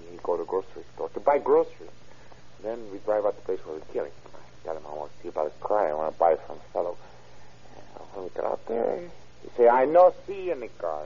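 A man playing a frightened young Mexican murder suspect in an old radio crime drama, speaking halting English with a Mexican Spanish accent. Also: low voice, creaky, warm tone, slight background noise. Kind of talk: 1930s radio acting. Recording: muffled old radio recording.

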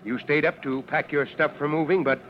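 An actor playing a stern, commanding fire chief who gives firm orders in an old radio drama. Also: male voice, a little high, fairly even intonation, a little fast, slight background noise, close to an everyday voice. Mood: stern questioning. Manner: firm, authoritative. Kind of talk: 1940s radio acting. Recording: dull lo-fi old radio recording.

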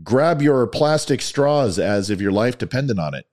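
A man's voice: medium pitch, animated, measured pace, darkish tone. A man with a slightly scratchy, worn voice hosts an opinion show, delivering commentary in a theatrical, emphatic way. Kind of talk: opinion commentary monologue to an audience.

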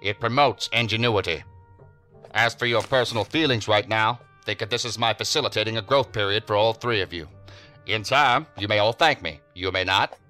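Stern, bright-voiced agency boss. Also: male voice, lowish voice, faint music behind. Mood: commanding, impatient. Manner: formal, firm, commanding, measured. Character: stern, authoritative male assistant director of a federal agency. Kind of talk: science fiction audio drama acting.

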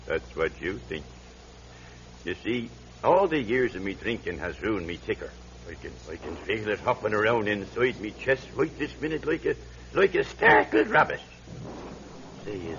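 A guilt-ridden old Irish drunkard, frail and fearful. His halting, theatrical lament swings widely in pitch, in an Irish accent. It is classic radio drama, heard through a dull vintage recording with a faint hum. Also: very animated, a bit bright. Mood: grave, confessional.

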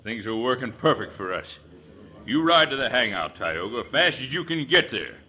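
A scheming gang boss in a vintage radio western. His mid-pitched voice is very sharp and animated as he bosses his men in rough folksy grammar. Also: normal speed. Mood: brisk, commanding. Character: scheming, gloating male outlaw gang boss. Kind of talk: old-time radio western acting.

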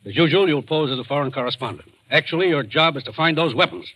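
A man playing a brisk, authoritative agency commissioner, serious and commanding, in 1940s radio drama style. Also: fairly high pitch, pushed and bright, breathy edge. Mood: businesslike, serious. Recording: noisy old radio recording.

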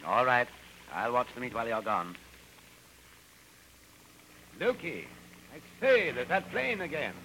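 A man's voice: mid-range voice, very animated, unhurried, long pauses, pushed and bright, heavily acted. An actor playing a pious, fearless middle-aged missionary father in an old radio serial, formal and theatrical, on a noisy vintage recording. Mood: curious. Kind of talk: 1930s radio serial acting.

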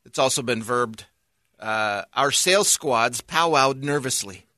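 A man's voice: mid-range voice, unhurried, dry recording. A man in casual comedic podcast banter, dryly reading out facts and definitions. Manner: dry, reads definitions aloud in a deadpan way. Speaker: podcast co-host.

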